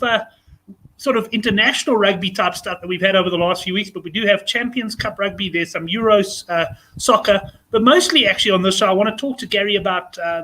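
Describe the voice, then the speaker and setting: A South African man with a raspy voice, hosting a casual, chummy chat show. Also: high-pitched, bright, forceful tone, rough recording. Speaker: show host.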